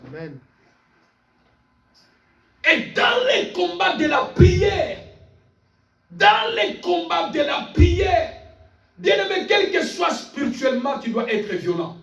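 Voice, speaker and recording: A man's voice: extremely high pitch, very animated, slow, vocal fry, pauses often, fairly bright tone, raised voice. A man preaching a fervent sermon, speaking emphatically and insistently, repeating phrases and calling on listeners for amens. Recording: echoey room, distant mic, faint music.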